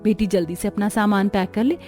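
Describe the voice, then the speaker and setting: A woman's voice: medium pitch, steady tone, fairly quick, warm tone, faint music behind, close to an everyday voice. A woman voicing a firm, protective middle-aged mother of a bride in a cartoon story. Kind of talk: Hindi cartoon story acting.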